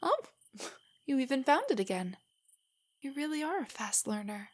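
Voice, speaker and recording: A young woman playing a nervous, nerdy, affectionate girlfriend in an intimate roleplay audio, speaking softly and breathily in a giggly, rambling way. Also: sits high, sing-song, normal speed. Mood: playful, shy. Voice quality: breathy, often near a whisper. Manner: rambling, giggly, hesitant, explaining trivia. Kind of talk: roleplay audio, intimate soft monologue to a listener.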